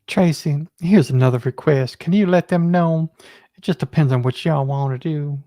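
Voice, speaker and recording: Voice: medium pitch, moderate pace, warm tone, no echo, studio quality. A co-host chatting casually and reading short email messages aloud.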